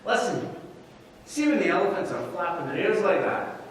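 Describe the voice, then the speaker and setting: Swaggering, clueless Scottish lad, done by a male storyteller, lively pitch swings, very echoey hall. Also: moderate pace. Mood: cocky. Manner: swaggering, deluded confidence. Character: confused, cocky Scottish soccer hooligan. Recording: echoey hall from far off, noisy.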